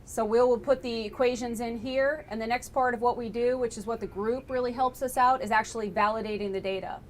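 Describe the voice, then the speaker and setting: A woman's voice: steady tone, fairly quick. Woman giving technical briefing in noisy room. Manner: explanatory, conversational. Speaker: lab engineer presenting flight recorder analysis. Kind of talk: informal technical briefing with questions. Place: laboratory tour, indoors. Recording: noisy room with low rumble.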